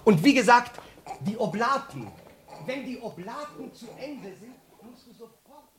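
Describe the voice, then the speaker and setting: An actor playing a sly, obliging manservant with a deferential, instructing manner in a radio drama. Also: male voice, very high-pitched, sing-song, unhurried, full character voice. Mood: officious, instructing. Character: obliging, sly manservant posing as sorcerer's interpreter.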